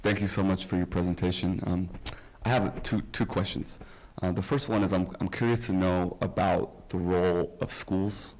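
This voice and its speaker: A man from Iran asks a panelist questions from the audience. His voice is very deep and flat, and he speaks politely with small hesitations. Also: poor audio. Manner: polite, measured, a little hesitant. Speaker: audience member asking questions.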